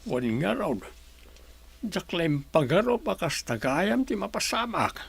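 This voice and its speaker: A man playing a gentle elderly father in a radio drama, with a creaky, husky voice. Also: sits high, very animated, slow pace, heavily acted. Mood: gentle concern. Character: gentle elderly father reassuring his grown son.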